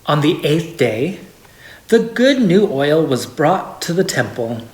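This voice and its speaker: Storyteller, medium pitch, lively intonation, measured storybook phrasing, expressive pauses, roomy echo. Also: a little hiss or hum. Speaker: story reader. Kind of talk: reading a holiday story aloud. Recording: echoey room.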